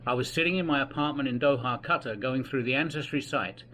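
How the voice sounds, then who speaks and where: A man's voice: mid-range voice, fast, sharp tone, slight background noise. Older Englishman telling his family story. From England. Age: 60s. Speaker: family history narrator. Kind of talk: reflective personal narration. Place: home in the Philippines.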